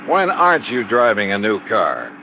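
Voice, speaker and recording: A man's voice: fairly low pitch, huge pitch swings, moderate pace. An actor playing a sardonic, impatient American insurance investigator who narrates his case in a 1950s radio drama. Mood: exasperated. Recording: dull, noisy old radio recording.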